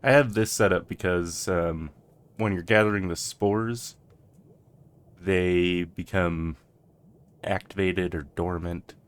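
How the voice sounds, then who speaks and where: A game streamer giving soft, casual gameplay commentary with hesitant, rambling asides. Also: sits low, steady tone, slow, pauses often, smooth.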